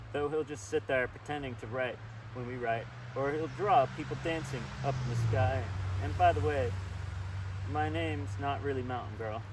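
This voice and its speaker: A man reading a story aloud informally and chattily, with asides of his own, recorded outdoors. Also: medium pitch. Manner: chatty, easily sidetracked, commenting as he reads. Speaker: storybook reader. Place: outdoors by a river. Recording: distant outdoor mic with steady background noise.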